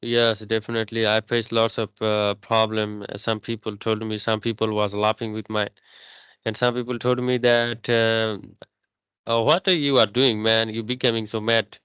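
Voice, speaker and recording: Young guy practicing english, halting, lots of uh and restarts, smooth clear voice. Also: lowish voice, little pitch movement, fairly bright tone, dry recording. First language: Bengali. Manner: halting, many restarts and fillers. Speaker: English learner. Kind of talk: casual English practice chat.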